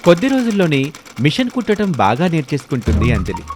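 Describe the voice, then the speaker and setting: A man's voice: on the high side, huge pitch swings, fast talker, over loud music. A man narrating a scripted moral story as its storyteller.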